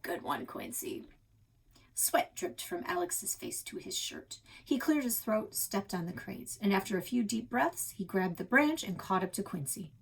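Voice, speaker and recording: A woman narrates a children's story for a read-aloud. Her pitch moves in lively swings. The voice sits in a middle register with a slightly husky edge. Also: moderate pace, hardly any pauses, rough recording. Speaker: library read-aloud reader. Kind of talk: expressive children's book narration.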